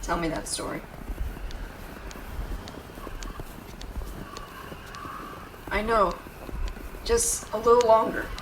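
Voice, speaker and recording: A woman's voice: fairly high pitch, moderate pace, distant mic, background noise, lightly acted. A woman playing a brash but caring tsundere young woman in an intimate audio roleplay, scolding with tender worry underneath. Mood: worried, pleading. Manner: brusque, scolding, exasperated, with tender worried undertones. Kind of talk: intimate dramatic audio roleplay addressed to the listener.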